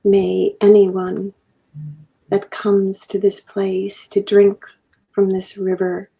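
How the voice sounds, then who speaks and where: A woman guest on a video call, giving a spoken invocation in a very slow, solemn, prayerful manner with a gravelly, husky voice. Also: mid-range voice, completely flat delivery, warm tone, poor audio. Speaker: podcast guest.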